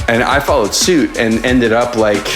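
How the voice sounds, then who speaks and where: A man's voice: medium pitch, measured pace, fairly bright tone, soft background music. A man hosting a casual interview, asking loose, searching questions with many fillers. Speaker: host interviewer.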